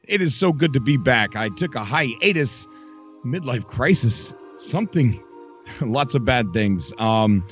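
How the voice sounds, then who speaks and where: A man's voice: mid-range voice, expressive, unhurried, fairly bright tone, dull audio. A man hosting a casual live gaming stream, chatty and upbeat, his voice still recovering and skipping a beat now and then. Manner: chatty, rambling, upbeat, greets chat viewers by name. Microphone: desk mic. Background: faint game music.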